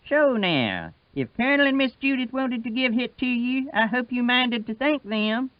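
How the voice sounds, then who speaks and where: High-pitched old Southern rustic. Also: male voice, lively intonation, no echo, dull audio. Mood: mild, thoughtful. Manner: rustic dialect speech, patient and slow.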